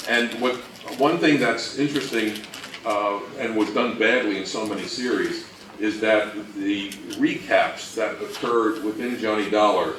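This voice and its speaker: A man giving an informal, explanatory talk on radio history as a presenter, speaking somewhat haltingly. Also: lowish voice, a bit bright. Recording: roomy, distant mic, faint noise.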